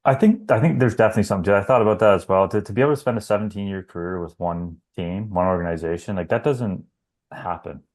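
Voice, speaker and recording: Podcast co-host, 30s man from Canada, deep voice, easygoing sports chat. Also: quick pace, a little mellow. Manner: conversational, rambling with fillers.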